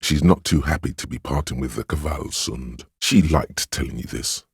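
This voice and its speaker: A very deep, husky inner voice of empathy. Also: male voice, no echo, clean studio sound, heavily acted. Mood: gentle, observant. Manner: measured, solemn, intimate delivery. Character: inner voice of empathy, gentle, perceptive, sorrowful narrator. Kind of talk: scripted second-person game narration.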